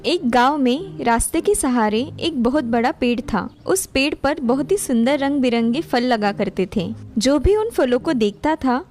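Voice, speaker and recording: A woman narrating a scripted moral story as a storyteller. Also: high voice. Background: music behind the voice.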